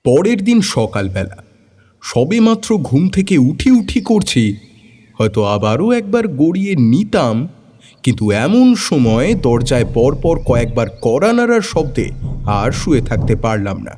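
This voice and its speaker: A man narrating an audio story in the first person, in a dramatic, expressive storytelling style. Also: medium pitch, a little slow.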